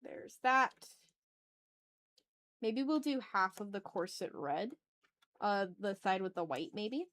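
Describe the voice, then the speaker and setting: A woman's voice: medium pitch, lively intonation, slow pace, long pauses, fairly bright tone. A woman chatting casually on an art livestream, thinking aloud with self-deprecating remarks and some swearing. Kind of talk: casual livestream chat while drawing.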